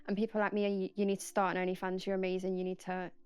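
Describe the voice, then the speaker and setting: A woman's voice: medium pitch, monotone, rapid-fire, clean tone. A woman, telling her story steadily. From Nottingham. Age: 20s.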